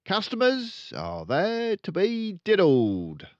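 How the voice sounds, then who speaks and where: An older storyteller acts out a crooked car-dealer dad from a children's storybook, a man who brags loudly and sneers. His pitch is pushed up and swings wildly, in a roomy, echoing recording. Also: huge pitch swings, takes it slowly, dull audio, exaggerated voice. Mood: gleeful bragging. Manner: loud bragging, sneering, colloquial. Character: boastful, crooked, rude car-dealer father, middle-aged.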